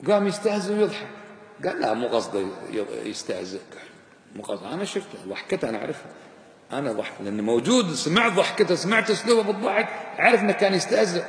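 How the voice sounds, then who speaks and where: Fast-talking male preacher in an echoing hall. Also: high voice. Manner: didactic, emphatic, with rhetorical questions and repetition. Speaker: Islamic preacher and teacher. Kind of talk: informal religious lesson, mixing formal and colloquial Arabic. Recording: echoey hall with long reverb.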